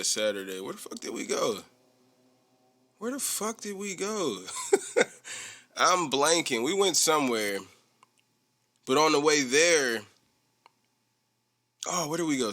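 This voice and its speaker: A young man hosting a solo podcast, chatting casually in a rambling, profane, animated way. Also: medium pitch. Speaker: podcast host.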